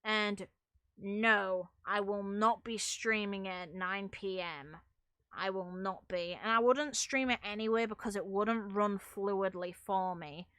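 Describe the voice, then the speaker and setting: A woman's voice: mid-range voice, slow, fairly bright tone, no room sound, clean studio sound. A woman chatting casually on a livestream as a game streamer, giving her opinions in an emphatic, opinionated way. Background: music.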